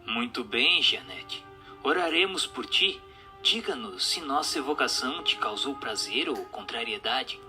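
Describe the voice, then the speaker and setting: An actor in a radio drama playing a formal, inquisitive séance questioner and narrator who speaks in a measured, scripted way. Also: male voice, mid-range voice, very animated, sharp tone, exaggerated voice. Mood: solemn, inquisitive. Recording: music under the voice.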